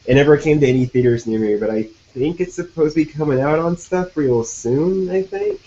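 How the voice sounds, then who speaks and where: A man's voice: on the high side, sing-song, fairly quick, mellow. A man from Virginia chatting casually on a live stream, slangy and laid-back, with phrases like "super duper" and "dog". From Virginia, USA. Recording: muffled online call audio.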